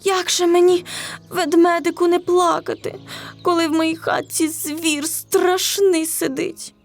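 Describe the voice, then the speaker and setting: Woman doing a squeaky, tearful little hare. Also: flat delivery, measured pace, faint music behind. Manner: squeaky, plaintive. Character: frightened little male hare, tearful and timid.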